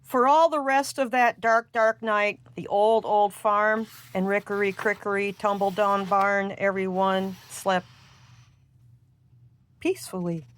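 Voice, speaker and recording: A woman reading a rhyming children's storybook aloud in a singsong, expressive, drawn-out way. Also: medium pitch. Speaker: storybook reader.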